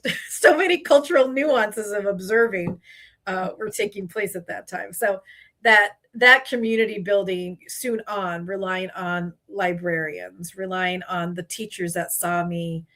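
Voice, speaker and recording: Bright-voiced woman, native Spanish speaker. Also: mid-range voice, a little slow. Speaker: podcast guest. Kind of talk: casual conversational storytelling.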